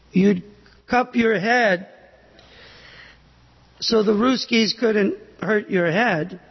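An older man preaches slowly in a high, gravelly voice with a husky edge. He halts often and fills gaps with "uh". The recording is dull and carries a long hall echo. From United States. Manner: slow, halting delivery with pauses and fillers. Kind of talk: sermon on scripture.

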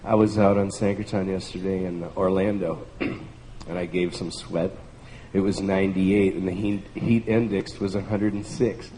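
A man's voice: low-pitched, little pitch movement, moderate pace, dark tone. A man in a group telling an enthusiastic personal story and laughing between phrases. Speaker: group participant. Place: large room with a group. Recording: distant echoey hall, faint hiss.

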